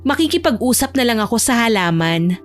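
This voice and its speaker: A sulky young woman played by a female narrator grumbles in a high, whiny voice. She is sleepy and irritable, in audio drama style, with music playing behind her. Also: sing-song, fairly quick. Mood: sarcastic. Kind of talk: Tagalog romance audio drama acting.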